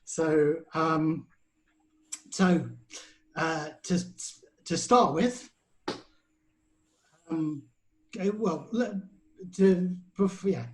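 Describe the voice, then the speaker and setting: A man with a somewhat high voice hosting a podcast interview. He circles around his questions, stumbling and restarting before he lands on what he means. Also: very slow, long pauses, low-quality recording. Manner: rambling, stumbling over phrasing. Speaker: podcast host.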